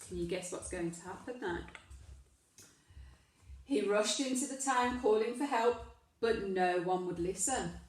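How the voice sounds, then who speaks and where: A woman reading a children's story aloud with emphatic, deliberate delivery, addressing questions to young listeners. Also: on the high side. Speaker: primary school storyteller. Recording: very echoey room, distant microphone.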